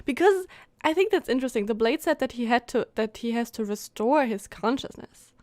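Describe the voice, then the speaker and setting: A woman's voice: fairly high pitch, lively intonation, brisk, darkish tone, no room sound. A woman game streamer giving casual live commentary, musing and reacting aloud as she plays.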